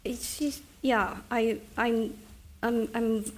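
A woman's voice: a little high, fairly even intonation, slow. A middle-aged woman author from China, speaking thoughtfully with hesitant restarts at a live book event. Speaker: author at a reading.

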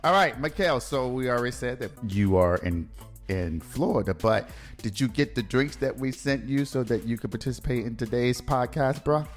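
A man's voice: medium pitch, animated, normal speed, faint music behind. A man hosting a casual podcast, asking a guest questions in a playful, teasing way. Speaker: podcast host. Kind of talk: casual interview chat.

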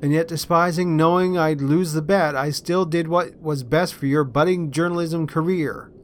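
A man's voice: fairly high pitch, fairly even intonation, moderate pace, soft background music, pitched above the performer's own voice, lightly acted. A man voicing a good-humoured, put-upon young student boyfriend in a storybook reading. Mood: wry, exasperated.

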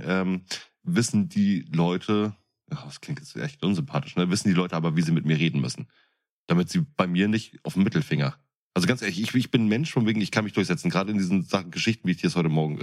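Deep voiced north guy cohosting a podcast, blunt n opinionated, repeats words a lot, casual chat. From northern Germany. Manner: blunt, opinionated, stumbling repetitions. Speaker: podcast co-host.

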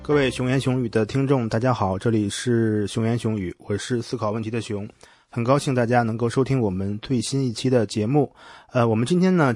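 A man hosting a podcast in a casual, conversational way, pausing now and then as he thinks through his words. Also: mid-range voice, darkish tone. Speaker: podcast host. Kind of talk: casual podcast hosting, reading listener messages.

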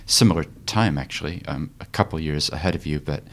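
A man's voice: rumbling low, brisk, slight background noise. A man in his forties, raised in New Zealand, hosting a podcast interview and asking curious, searching questions with some hesitation. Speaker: podcast host. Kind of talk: casual conversational interview.

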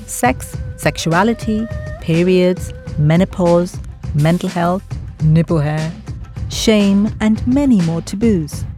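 A woman hosting a podcast, reading a scripted intro and then interviewing her guest in an earnest, measured way. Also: lowish voice, dark tone, faint music behind. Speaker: podcast host.

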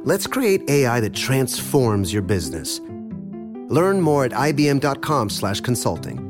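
A man's voice: medium pitch, sing-song, unhurried, music in the background. A man reading a corporate commercial in a polished, earnest style. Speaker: ad voice-over.

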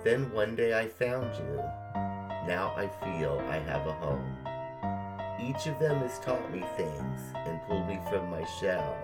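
A man's voice: deep voice, big pitch swings, measured pace, over loud music. A middle-aged man co-hosting a podcast, talking casually and reading aloud for fellow fans. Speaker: podcast co-host. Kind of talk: casual nostalgic chat, dramatic poem reading.

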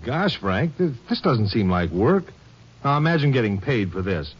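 A man's voice: medium pitch, sing-song, moderate pace, breathy edge. A man giving a folksy, enthusiastic scripted endorsement in 1950s radio style. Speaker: guest director endorsing a sponsor. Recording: muffled old radio recording.

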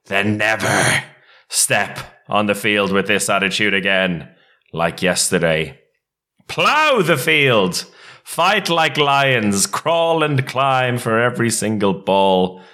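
A man doing a melodramatic dramatic reading as an outraged sports fan, slow and emphatic. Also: mid-range voice, sharp tone, voice pushed up. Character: outraged sports fan group declaiming an open letter.

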